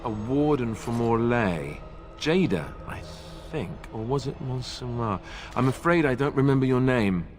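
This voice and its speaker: An actor voicing a boyish, awkward young warrior in a fantasy video game, with a hesitant way of talking. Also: male voice, mid-range voice, expressive, a little slow, lightly acted. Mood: surprised, unsure. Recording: noisy ambience behind the voice.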